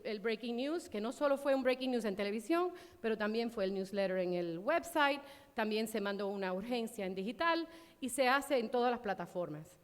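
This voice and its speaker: A woman executive giving a conference presentation, speaking passionately and emphatically, with frequent fillers and restarts. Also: on the high side. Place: conference hall. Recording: long hall reverb.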